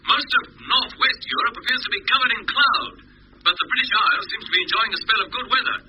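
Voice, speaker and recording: An actor playing an earnest rocket captain speaking from the Moon in 1950s radio drama style, on a hissy vintage recording. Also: male voice, very high-pitched, fast talker, sharp tone, slightly rough, full character voice, poor audio. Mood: awed.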